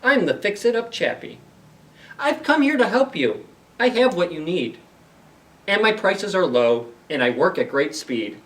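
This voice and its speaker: A man voicing a slick, showy fix-it salesman creature from a children's storybook, delivering a grandiose sales pitch. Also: very animated, a little slow, a bit bright, recorded from a distance, exaggerated voice. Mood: boastful selling. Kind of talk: storybook read-aloud.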